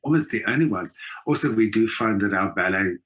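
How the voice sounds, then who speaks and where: A man's voice: lowish voice, expressive, fairly quick, a bit bright, husky, rough recording. A South African man speaking emphatically as a conversational interview guest, repeating phrases for stress. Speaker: interview guest, theatre performer and mentor.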